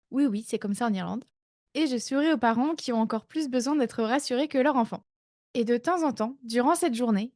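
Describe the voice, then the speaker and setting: A woman in her thirties talks through her own coaching podcast in a slightly high voice. She chats casually, with fillers and self-mocking asides. The sound is close and dry. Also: a little mellow, dry recording. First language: not English. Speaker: life coach, podcast host.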